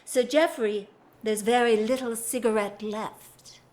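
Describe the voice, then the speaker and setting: A woman's voice: a little high, measured pace, a little mellow, lightly acted. An actress playing a reserved, married English society lady in a period audio drama, earnest, formal and restrained. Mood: concerned.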